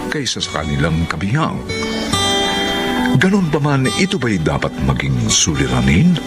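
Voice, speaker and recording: A man's voice: sits low, huge pitch swings, moderate pace. Theatrical Filipino male announcer over music. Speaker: radio drama announcer. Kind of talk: dramatic scripted radio narration. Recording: music bed under narration.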